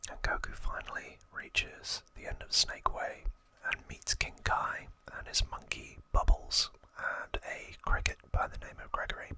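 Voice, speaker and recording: An ASMR host whispering trivia in a breathy, unvoiced voice, explaining hesitantly with soft pauses. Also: rough recording.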